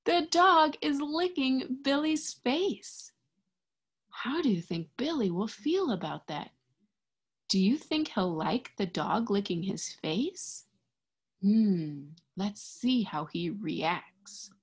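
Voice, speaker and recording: A young woman reading a picture book aloud to small children, expressively and deliberately, pausing to explain and ask simple questions. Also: on the high side, husky. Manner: expressive, deliberate, child-directed teacher talk. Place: indoors in front of a green screen.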